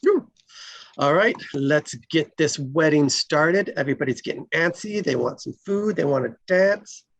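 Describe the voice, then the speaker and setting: A woman's voice: low-pitched, expressive, measured pace. A woman hosting and casually introducing the cast of a table read.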